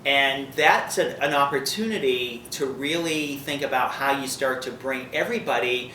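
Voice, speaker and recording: A man's voice: normal speed, pushed and bright, distant mic. A man, a consultant who works with many organizations, speaking in an upbeat, persuasive way in an interview. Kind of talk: interview talk. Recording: echoey room, off-mic, faint rumble.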